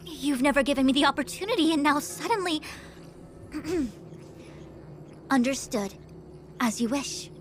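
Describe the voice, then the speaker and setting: High-voiced, haughty female official. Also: expressive, a little slow, pauses often. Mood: reluctant, resentful. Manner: haughty, formal, reproachful. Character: proud, stern, impatient high-ranking female official. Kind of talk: scripted video game dialogue. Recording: background music under the voice.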